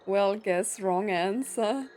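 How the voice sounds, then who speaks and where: A woman's voice: mid-range voice, slow, a little mellow, soft background music. A woman streamer giving casual gameplay commentary in short, informal, puzzled reactions. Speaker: game streamer.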